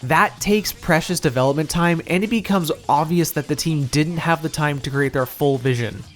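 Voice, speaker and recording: A man's voice: a little high, moderate pace. A man narrating a scripted video game review in a wry, opinionated, casually profane manner, as the reviewer himself. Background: music.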